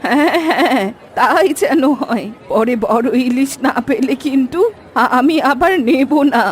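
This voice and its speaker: A woman playing a brisk, bossy old village granny in a fairy-tale cartoon. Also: high-pitched, some chatter behind. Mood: bossy, busy. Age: older. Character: bossy, busy old village granny who cooks hilsa.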